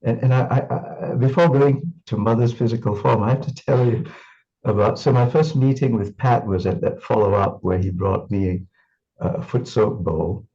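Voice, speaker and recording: A man's voice: lowish voice, normal speed, mellow, lo-fi sound. A man from Singapore reminiscing in an interview, thoughtful and full of restarts and fillers. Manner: thoughtful, repeats words, many fillers.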